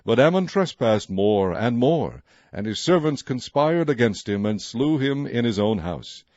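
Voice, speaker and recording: A man reading scripture aloud in a measured, formal, solemn narration style. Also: medium pitch, animated, a little mellow, no echo, muffled recording. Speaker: Bible reader.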